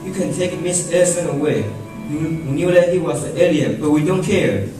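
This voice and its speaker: A man's voice: on the high side, normal speed, voice pushed up, natural voice. A man voicing a loyal, pleading small-town resident in an amateur radio drama. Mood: defiant. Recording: echoey hall from far off, music behind.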